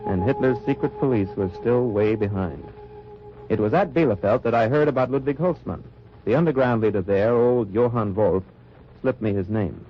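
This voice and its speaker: A man's voice: medium pitch, normal speed, lightly acted. An actor playing an undercover wartime agent posing as a wine merchant, narrating his mission in an old radio drama. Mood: wary, reflective. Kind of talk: 1940s-50s radio drama acting and narration. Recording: dull old radio recording with music.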